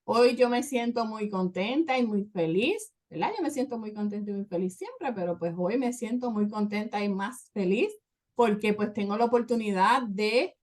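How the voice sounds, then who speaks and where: Church show host lady, lots of este and verdad, mid pitch. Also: normal speed, darkish tone. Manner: chatty, filler-heavy, says "¿verdad?" often.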